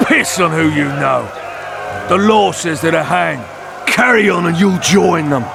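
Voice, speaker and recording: Officious game executioner: high-pitched, animated, gravel-edged, blunt threats, music underneath. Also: male voice, a little slow. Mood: gruff, officious. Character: bullying, officious town executioner enforcing the law. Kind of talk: video game cutscene acting.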